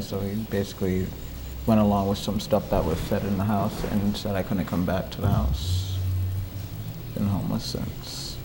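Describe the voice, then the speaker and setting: A man in his twenties giving a plain personal account as an interview guest. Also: fairly low pitch. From born in Rhode Island. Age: 20s. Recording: clear background noise.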